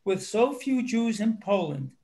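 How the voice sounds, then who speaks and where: A man reading his prepared book review aloud, in a measured, earnest way with small stumbles. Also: high-pitched, big pitch swings, dark tone.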